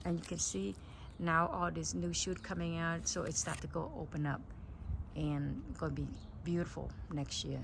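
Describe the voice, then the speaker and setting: A middle-aged woman from Cambodia giving a relaxed, chatty tour of her backyard garden, outdoors on a phone mic. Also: low-pitched, normal speed, gravelly, noisy background. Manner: relaxed, chatty, simple phrasing. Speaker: gardening vlogger.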